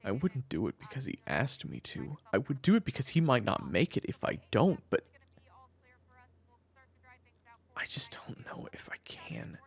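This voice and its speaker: A man's voice: mid-range voice, huge pitch swings, moderate pace, husky, in a whisper, heavily acted. A man playing a weary, protective family man with a criminal past in an audio drama, both narrating and speaking in a hesitant way. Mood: conflicted, reassuring. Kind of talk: audio roleplay acting with first-person narration.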